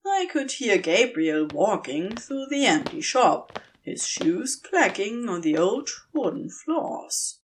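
Female fiction reader, slow and deliberate, with dramatic, animated swings in delivery. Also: mid-range voice, slight background noise, rough recording. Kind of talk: expressive scripted story narration.

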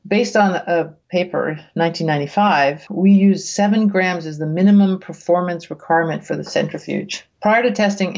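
A woman reading research aloud as a guest, with casual, bemused asides, thinking out loud in a conversational way. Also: lowish voice, creaky, dull audio. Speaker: guest reader reacting to research papers.

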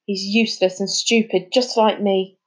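A woman's voice: mid-range voice, fairly even intonation, normal speed, lightly acted. Woman voicing an upset, stubborn young boy. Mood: savage. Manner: pleading, exclaiming. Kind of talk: audiobook character dialogue.

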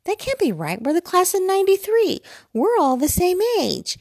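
American lady in her 40s just rambling about her life, bit of vocal fry, dry close sound. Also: very high voice, wildly swooping pitch, normal speed, darkish tone, no room sound. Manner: chatty, self-deprecating, digressive. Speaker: vlogger. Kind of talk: casual rambling personal chat. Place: bedroom at home, lying in bed.

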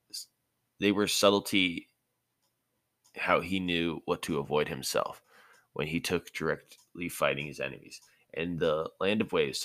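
A man's voice: low voice, fairly bright tone, dry recording. A man narrating fan fiction as an amateur audiobook, sometimes stumbling and rereading phrases.

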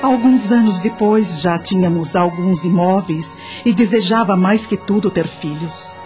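An actress playing a grateful, remorseful widowed mother, narrating her life in a confiding, measured way for a radio drama. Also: fairly low pitch, music in the background, close to an everyday voice. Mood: reflective, confiding.

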